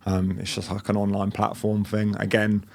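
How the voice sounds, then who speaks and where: A man's voice: on the low side, normal speed, creaky. A man in his late twenties, a business owner as guest, talking casually with fillers and restarts. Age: late 20s. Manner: relaxed, rambling with fillers and restarts. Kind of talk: casual interview chat.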